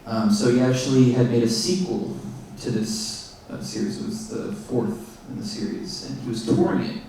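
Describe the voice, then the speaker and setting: A man, the filmmaker, answering audience questions in halting speech full of fillers and restarts, recorded in a theater. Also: medium pitch, fairly even intonation, dark tone, slight background noise. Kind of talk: post-screening Q&A answers. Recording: echoey theater from far off.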